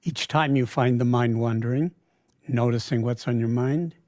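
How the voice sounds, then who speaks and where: A man leading a guided meditation in a calm, unhurried way, often pausing mid-sentence. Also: mid-range voice, dry recording, clean studio sound. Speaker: meditation teacher.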